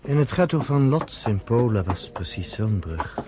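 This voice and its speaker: A man's voice: on the low side, sing-song, moderate pace. A man playing David, a fervent, stubborn underground fighter guiding a visitor through a camp, in a radio play. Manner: fervent, stubborn about his people's survival. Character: earnest male underground fighter David, middle-aged. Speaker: resistance leader guiding a visitor. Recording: noisy radio play recording.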